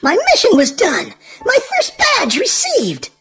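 A woman hosting a children's read-aloud, narrating in an exaggerated, sing-song, expressive way for small children. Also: extremely high pitch, unhurried. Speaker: host and reader of a children's read-aloud show. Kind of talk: animated children's storybook narration.

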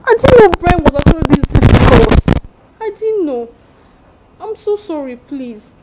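A woman's voice: fairly high pitch, big pitch swings, normal speed, heavy background noise. A married woman acting in a skit as a friend who gives persuasive advice. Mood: apologetic. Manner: confiding, persuasive, then apologetic.

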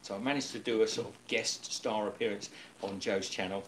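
Man, middle-aged, host, low voice, chatty, restarts a lot, kitchen webcam, faint hum behind. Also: fairly even intonation, brisk, a bit bright, low-quality recording. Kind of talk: casual live stream chat. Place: home kitchen indoors. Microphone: webcam on table.

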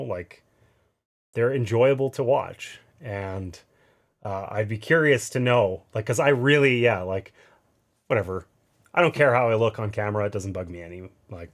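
Nasal man thinking aloud over a board game. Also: medium pitch. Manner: thinks aloud, explaining each move with wry asides. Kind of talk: casual play-through commentary. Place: indoors at a gaming table.

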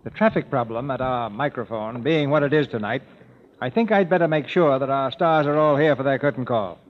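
A man's voice: mid-range voice, fairly quick. A man hosting an old radio show, calling the roll in genial, theatrical scripted banter. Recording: muffled old radio broadcast in a hall.

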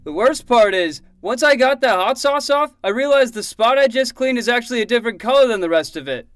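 An actor playing a retired, fussy but affectionate older uncle in a fiction podcast. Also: male voice, very high-pitched, lively intonation, a little fast, fairly bright tone, dry recording, studio quality. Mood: exasperated.

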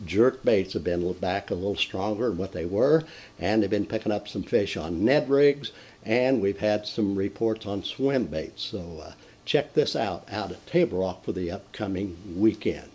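An older man hosting a folksy fishing report from a den, talking with lively enthusiasm and frequent fillers and restarts. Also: lowish voice, normal speed. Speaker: fishing show host. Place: indoor den with mounted fish on the wall.

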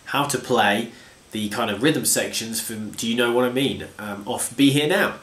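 A man's voice: mid-range voice, moderate pace, fairly bright tone. A man teaching guitar at home in a chatty, self-deprecating way, congested from a cold and counting strums aloud. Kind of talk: casual guitar lesson. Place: home room with bookshelves. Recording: echoey room with guitar playing.

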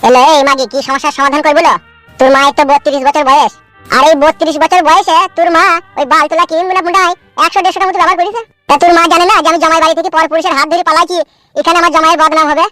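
A man's voice: very high-pitched, little pitch movement, bright, forceful tone, dry recording, faint music behind. A man voicing an exasperated, crude husband who scolds in a comedy cartoon. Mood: exasperated, scolding. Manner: scolding, crude, rapid-fire. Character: foul-mouthed, exasperated husband ranting about his runaway mother-in-law. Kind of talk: amateur comedy cartoon dubbing.

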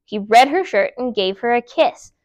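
A woman in her thirties reading a children's picture book aloud in an expressive, emphatic storytime style. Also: a little high, no echo. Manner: animated, emphatic, deliberate storytelling. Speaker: library storytime reader. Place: indoor room, seated before a dark wall. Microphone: clip-on lapel mic.

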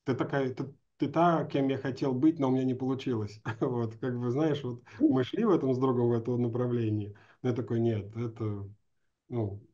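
Mellow middle-aged man, slightly dull call audio. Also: mid-range voice. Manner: hesitant, informal, halting phrasing. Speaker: host, interviewer. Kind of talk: casual interview chat. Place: indoor room, video call. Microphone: video call.

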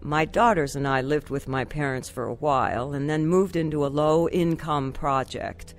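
A woman's voice: low voice, fairly even intonation, normal speed, soft background music. An actress playing a troubled wife and mother who tells her life story in an earnest, confessional radio drama style. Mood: regretful. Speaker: lead actress narrating. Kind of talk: radio drama first-person narration.

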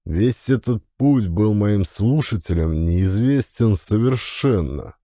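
A man narrating a first-person autobiographical audiobook in an expressive storytelling style. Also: on the low side, slow pace, no room sound, studio quality. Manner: varies voice for characters' dialogue.

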